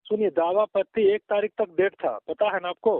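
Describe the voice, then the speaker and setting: A man's voice: high-pitched, steady tone, brisk. A man talking in a phone call recording in a pushy, curt and insistent way. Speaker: caller soliciting payment for a job listing. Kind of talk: phone conversation.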